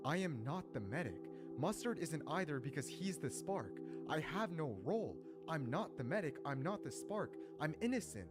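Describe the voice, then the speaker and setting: A man's voice: fairly high pitch, very animated, normal speed, few pauses. A man arguing his case in a social-deduction game, slangy and incredulous in manner. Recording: loud music behind the voice. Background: loud music.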